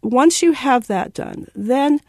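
Woman, baking guest, lively expressive pitch, step-by-step explaining, dry sound. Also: slow pace, no echo. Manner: warm and explanatory, walks through steps. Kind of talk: instructional interview.

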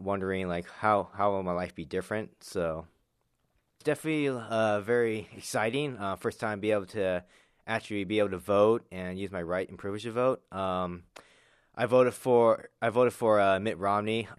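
A young man from Arizona, a college student, talking about his life in a personal interview, hesitant with many pauses and restarts. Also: lowish voice, clean tone, dry recording, clean studio sound. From Arizona, USA. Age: young adult.

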